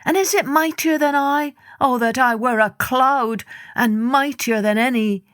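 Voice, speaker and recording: A woman's voice: sits high, unhurried, a bit bright, dry recording, clean studio sound, pitched above the performer's own voice. A woman voicing a proud, discontented man in a storybook, exclaiming indignantly and wishfully over music. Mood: angry, envious. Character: discontented, proud man longing for greater might.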